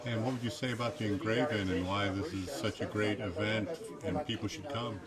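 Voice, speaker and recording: A loud crowd nearly fills the recording. Behind it, a man with a lowish voice sounds off-mic as he puts casual questions as an interviewer. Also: fairly even intonation, a little fast.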